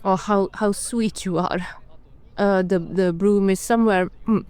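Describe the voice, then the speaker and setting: A woman's voice: mid-range voice, steady tone, a little slow, darkish tone, smooth, no echo, natural voice. A woman playing an eccentric, languid vampire archduchess in a fantasy roleplay, airy, drawling and theatrical as she dotes. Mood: vague, languid.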